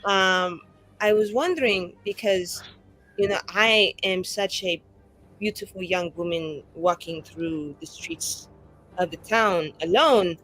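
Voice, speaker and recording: A woman's voice: mid-range voice, expressive, slow pace, fairly bright tone, soft background music, pitched below the performer's own voice, exaggerated voice, poor audio. A woman playing a tipsy, chatty young mage in a tabletop game, rambling and effusive in informal language. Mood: apologetic, rambling.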